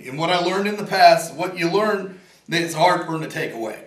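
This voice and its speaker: A man in his forties with a raspy voice, an inventor talking in a casual, rambling and earnest way, sometimes joking about himself. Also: on the high side, brisk. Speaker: inventor and veteran interviewee. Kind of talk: casual interview talk. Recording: roomy echo, from a distance.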